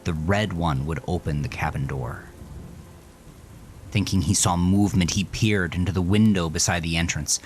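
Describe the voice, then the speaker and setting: A man narrating a horror story with slow, intense delivery. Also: low voice, slight background noise.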